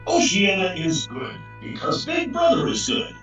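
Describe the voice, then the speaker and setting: Male actor as totalitarian propagandist, fairly high, distant, fervent, chanting, radio drama. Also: huge pitch swings, measured pace, fairly bright tone, exaggerated voice. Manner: declamatory, chanting. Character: zealous propaganda voice of a totalitarian party. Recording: very echoey, distant, music behind.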